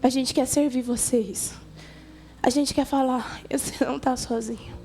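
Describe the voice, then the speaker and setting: A Brazilian woman with a notably high voice gives an informal youth sermon. She talks colloquially and conversationally, addressing listeners directly and voicing dialogue as she goes, with music faintly behind her. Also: steady tone, unhurried, mellow. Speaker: youth church preacher.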